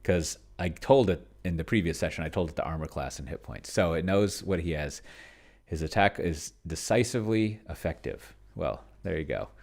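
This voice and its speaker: A man casually thinking aloud as he plays a solo tabletop game, halting and muttering to himself as he types. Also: low-pitched, gravelly. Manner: self-described pedantic. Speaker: solo tabletop player and video host.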